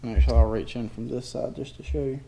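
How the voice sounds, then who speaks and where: Male DIY mechanic for a garage how-to: plainspoken and folksy, very little pitch movement, casual step-by-step delivery. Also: steady tone, fast talker, dark tone, a little hiss or hum, poor audio. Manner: plainspoken, informal, folksy wording. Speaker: DIY mechanic demonstrating a tool. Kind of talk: casual hands-on tutorial narration. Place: indoor garage workshop.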